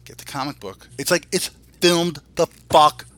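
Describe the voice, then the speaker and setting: Blunt, bright-voiced man ranting with heavy swearing. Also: on the high side, steady tone, moderate pace, no echo. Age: 40s. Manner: heavily profane, blunt, exasperated. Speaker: podcast co-host. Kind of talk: casual opinionated podcast rant.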